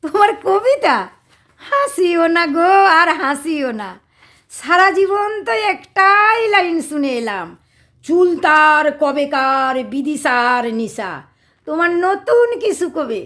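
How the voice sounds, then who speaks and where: A female actor plays a quarrelsome middle-aged wife in a domestic comedy radio play. Her voice is extremely high-pitched, with a West Bengal accent. She scolds and mocks in a sharp-tongued, theatrical manner. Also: big pitch swings, slow, full character voice. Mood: mocking. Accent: West Bengal Indian. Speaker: actress in an audio drama.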